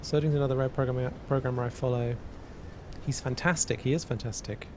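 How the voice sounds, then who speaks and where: A man streaming casually, answering chat and working through math aloud in a halting way that repeats words. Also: steady tone. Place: cold indoor room. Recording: noisy with a low rumble.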